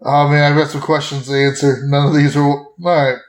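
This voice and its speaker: A man with a fairly high-pitched voice plays a tabletop game, talking casually through his moves. The room around him is noticeably echoey. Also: flat delivery, fairly quick.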